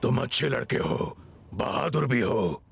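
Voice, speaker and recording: A man voicing a gruff, stern but forgiving giant snow monster in a cartoon, speaking slowly with a gravelly voice. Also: medium pitch, expressive, over music. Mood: calm, approving. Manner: slow, deliberate. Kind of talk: Hindi cartoon voice acting.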